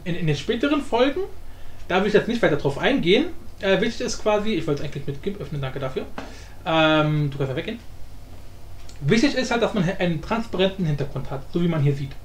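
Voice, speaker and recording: A man hosting a casual software tutorial in a colloquial, mildly crude, joking way. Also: high voice, slight background noise. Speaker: tutorial host.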